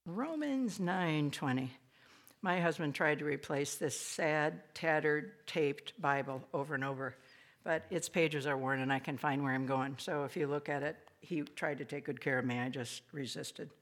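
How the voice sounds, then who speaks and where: An older woman with a raspy voice gives a chatty, self-deprecating devotional talk to an audience in a small old hall. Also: sits low. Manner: lively, chatty, self-deprecating preaching with quick asides. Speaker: speaker.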